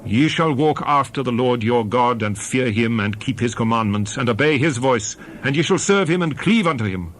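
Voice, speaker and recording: A man's voice: medium pitch, animated, moderate pace, hardly any pauses, fairly bright tone, slight background noise, poor audio. A man giving a dramatized scripted reading of scripture as narrator, in a solemn, declamatory and emphatic manner.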